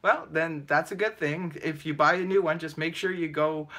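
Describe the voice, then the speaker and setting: A man hosting a casual astrology livestream with a deep voice, chatting loosely with many fillers, laughing often and answering viewer comments. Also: moderate pace, bright, forceful tone. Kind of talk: casual live chat reading viewer comments.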